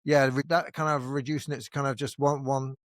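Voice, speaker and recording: Fast-talking man hosting a podcast. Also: mid-range voice, no room sound, clean studio sound. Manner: animated, with frequent restarts and fillers. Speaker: podcast host. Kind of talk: casual conversational interview.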